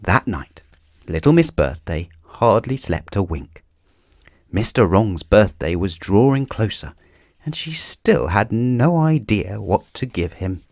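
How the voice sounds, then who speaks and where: A man reading a children's story aloud in an expressive narrating style. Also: fairly low pitch, takes it slowly, dry recording, clean studio sound.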